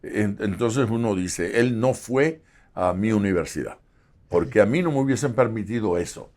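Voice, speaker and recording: A man's voice: fairly low pitch, moderate pace, darkish tone. A man hosting an interview, asking questions hesitantly with many fillers and joking about himself. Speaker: host, pastor. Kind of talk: casual interview.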